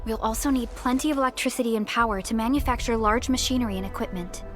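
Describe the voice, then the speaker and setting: A woman voicing a calm, helpful second-in-command in scripted video game dialogue. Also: a little high, fast, lightly acted. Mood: composed, attentive. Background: game music.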